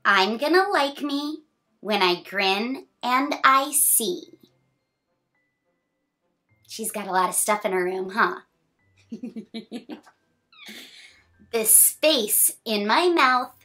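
A woman in her thirties hosts a children's read-aloud in a fairly high, bright voice. She is expressive and encouraging, with a smiling, sing-song delivery and friendly asides to young listeners. Music plays behind her. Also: drawn-out words, pauses often. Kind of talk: reading a picture book aloud to children, with chatty asides.

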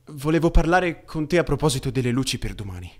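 A man's voice: mid-range voice, lively intonation, fast talker, close to an everyday voice. A man voicing a timid, apologetic young male wolf student in an anime fan dub, hesitant and stammering. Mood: nervous, hesitant.

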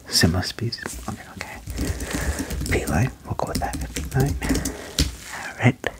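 A young adult man performs a soothing ASMR doctor roleplay, mostly in a breathy whisper. His delivery is slow and low, with gentle reassurance. He speaks right up close to the microphone. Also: low-quality recording. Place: small indoor room. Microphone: close desk mic with furry windscreen.

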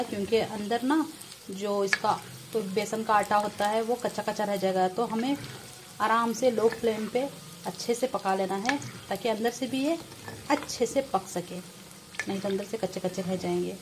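A woman's voice: mid-range voice, a little hiss or hum, low-quality recording. Homely woman cooking over sizzling oil. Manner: addresses viewers often as nazreen, unhurried and homely. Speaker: cooking vlogger. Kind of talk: casual step-by-step cooking narration. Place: home kitchen beside frying pan. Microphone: phone camera over the stove, hands only. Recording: home kitchen with loud frying sizzle. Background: oil sizzling in the pan.

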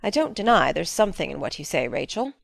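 A woman's voice: lowish voice, big pitch swings, fast talker, vocal fry, fairly bright tone, no room sound, studio quality, exaggerated voice. Woman playing a stern, plain-spoken farm woman, dryly recorded. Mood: calm, resigned. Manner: plain-spoken, matter-of-fact, folksy old-fashioned phrasing. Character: stern, practical, reserved middle-aged farm woman. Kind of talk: dramatic audiobook reading.

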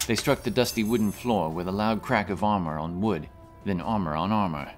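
Low-voiced man narrating fantasy over music. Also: fairly quick. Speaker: audiobook narrator. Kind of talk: scripted fantasy story narration.

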